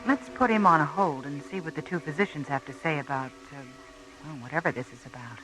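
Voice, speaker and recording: An actress playing a brisk, decisive doctor on a space station in a science fiction radio drama. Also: female voice, sits low, noisy background, close to an everyday voice.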